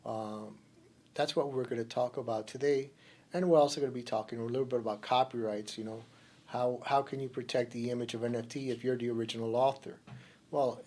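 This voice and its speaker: A male lawyer from Cuba hosts a radio segment, talking loosely and explaining as he goes. His voice sits at a medium pitch, and he often trips over his words and starts his phrases again. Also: darkish tone. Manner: hesitant, often restarting phrases. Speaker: lawyer hosting a radio program. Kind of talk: informal explanatory radio talk.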